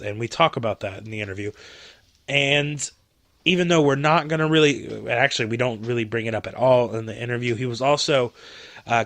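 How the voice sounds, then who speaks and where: Male podcast host, solo, monotone delivery with slight inflection, rambly, recovering from a cold, dead-dry room. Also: medium pitch, no room sound. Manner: self-described monotone, rambling with many fillers. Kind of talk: casual solo podcast talk.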